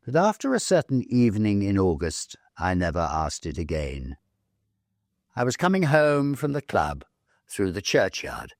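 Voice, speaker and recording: A man reading a Victorian story aloud, measured and expressive, with dramatic pauses. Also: low-pitched, no room sound, studio quality. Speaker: story reader, narrator. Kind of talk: dramatic literary narration of a Victorian ghost story.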